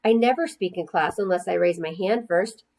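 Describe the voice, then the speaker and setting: A woman's voice: fairly low pitch, moderate pace, a little mellow. A woman narrating a children's storybook expressively, as a storyteller reading aloud to young listeners.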